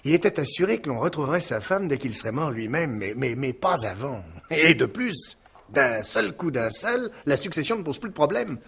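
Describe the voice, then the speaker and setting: An actor playing a gruff, wry middle-aged police investigator in a 1950s-style French radio mystery, exclamatory and musing. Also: male voice, a little high, big pitch swings, normal speed, a bit bright, exaggerated voice. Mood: animated.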